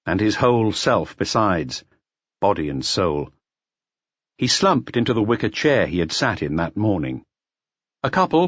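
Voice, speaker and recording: Low, husky male audiobook narrator, expressive. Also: no echo, muffled recording. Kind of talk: scripted narration of a detective novel.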